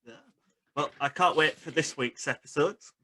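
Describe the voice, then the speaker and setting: Man, forceful bright tone, slightly high pitch, casual interviewer. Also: moderate pace. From Halifax, England. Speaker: podcast interviewer.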